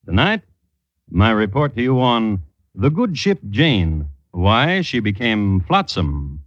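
An actor playing a droll, macabre connoisseur of crime, narrating deliberately and wryly as an old-time radio host. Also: male voice, fairly low pitch, sing-song, dry recording, heavily acted. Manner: deliberate, wry, understated. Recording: muffled vintage radio recording.

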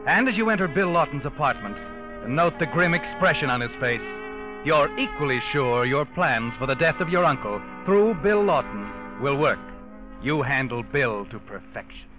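An actor playing a knowing, insinuating narrator in a classic suspense radio drama, speaking dramatically. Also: male voice, medium pitch, lively intonation, moderate pace, natural voice. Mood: knowing, ominous. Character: knowing, ominous male second-person radio narrator. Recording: dull vintage radio recording. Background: dramatic music.

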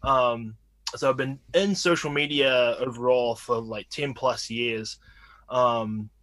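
A man in his thirties from New Zealand with a New Zealand accent, a guest chatting casually from home, laid-back and quick to laugh. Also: medium pitch, steady tone, a bit bright, slight background noise. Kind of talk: casual interview chat.

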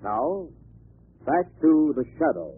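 A man reading a scripted 1940s radio commercial in a formal, measured announcer style. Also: fairly high pitch, sing-song, husky. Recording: tinny, scratchy old radio recording.

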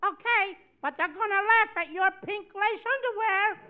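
A performer playing a bratty, squeaky little kid brother in a 1940s radio comedy. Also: moderate pace. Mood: cheeky whining. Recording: thin phone-like vintage radio recording.

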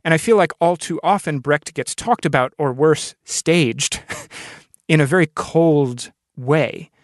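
A man's voice: fairly high pitch, slow pace, dry recording, studio quality. A man hosting a conversational interview, reflective and earnest as he builds his thoughts aloud. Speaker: podcast host, interviewer.

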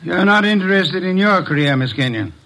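Pompous detective boss, high-pitched voice. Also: male voice, lively intonation, pushed and bright, exaggerated voice, low-quality recording. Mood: probing. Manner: pompous, deliberate interrogation. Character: shrewd, commanding, erudite male detective boss. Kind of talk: old-time American radio detective drama acting.

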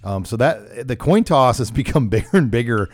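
A man's voice: medium pitch, animated, moderate pace, dry recording, studio quality. A man with an American accent hosting a conversational sports talk show. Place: studio.

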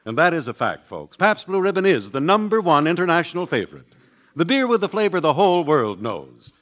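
A man announcing in a booming, salesy way, as in a 1940s radio ad. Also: very animated, fairly quick. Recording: old radio broadcast over loud music.

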